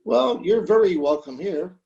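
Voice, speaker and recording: A man's voice: sits high, lively intonation, moderate pace, gravelly, dark tone, echoey room, highs cut off. A man playing a mild, fussy old shopkeeper, hesitant and evasively polite, in an old-time radio comedy, recorded over a video call. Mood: obliging.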